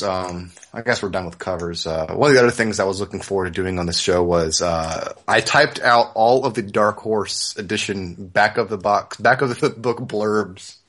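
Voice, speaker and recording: A man's voice: on the low side, a bit bright. A man hosting a podcast in casual, informal chat. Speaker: podcast host.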